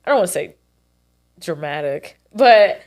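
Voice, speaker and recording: A woman's voice: fairly low pitch, animated, measured pace, darkish tone. A young woman co-hosting a podcast in casual chat, blunt and free with swearing, her nose stuffed up. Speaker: podcast co-host.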